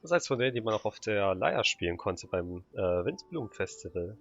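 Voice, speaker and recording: A man from Germany with a low voice chats casually while he plays a game. He throws in short asides as the scene unfolds, and the recording sounds slightly dull and lo-fi. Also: lively intonation, brisk, a bit bright, faint music behind. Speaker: game streamer. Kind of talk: casual let's-play commentary.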